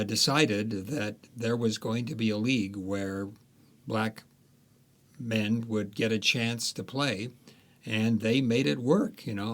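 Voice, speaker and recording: A man, an author guest in a podcast interview, talking casually and hesitantly with many restarts. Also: mid-range voice.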